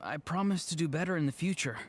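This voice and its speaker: A man's voice: on the high side, lively intonation, fast. A man voicing a contrite, earnest young grandson in a video game, speaking politely with a wry edge. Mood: sheepish pleading.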